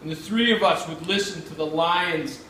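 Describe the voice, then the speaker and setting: An American man from Pittsburgh giving a measured, dramatic live reading of his own literary writing. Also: on the high side, sharp tone. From Pittsburgh, United States. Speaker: writer reading own work. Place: indoor reading event. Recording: echoey room, far mic, faint noise.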